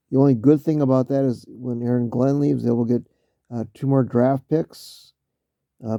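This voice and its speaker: A man with a very dark, gravelly voice talks football in a slow, halting ramble full of fillers and restarts. His voice is going, so he keeps stopping to clear his throat. The sound is dry and a little dull, like a cheap home mic. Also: medium pitch, dry recording. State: losing his voice. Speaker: vlogger talking football. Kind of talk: casual spoken commentary.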